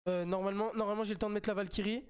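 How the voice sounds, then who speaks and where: A man's voice: high voice, little pitch movement, very fast, clear, resonant tone. A man with a French accent and a slight cold, commentating his own mobile game live as a streamer, chatty and repeating himself as he plays.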